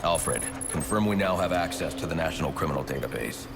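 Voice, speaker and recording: A man's voice: animated, brisk, very noisy, heavily acted. An actor playing a grim, brooding male vigilante detective in a video game, terse and menacing. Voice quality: gravelly, very deep. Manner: terse, menacing, low and controlled. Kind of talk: video game cutscene acting. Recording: loud score behind voice.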